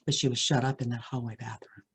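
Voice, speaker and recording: A middle-aged American woman with a husky voice reads a scary first-person story aloud, expressively and with suspenseful pauses. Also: very deep voice, steady tone.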